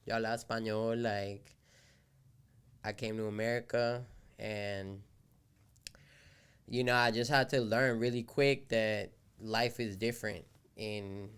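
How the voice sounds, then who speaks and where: A young man from Colombia whose first language is Spanish, a rapper and event organizer telling his story in a casual podcast interview, relaxed and slangy. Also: fairly low pitch, a little slow, long pauses, smooth. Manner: laid-back slangy storytelling, often says "you feel me".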